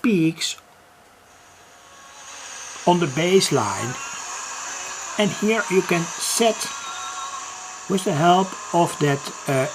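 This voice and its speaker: A hobbyist giving an unscripted demo at home, explaining piece by piece in a halting way with frequent pauses. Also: mid-range voice, expressive. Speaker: hobbyist demonstrator. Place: home, indoors near a kitchen. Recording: noisy room with radio static. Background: radio noise.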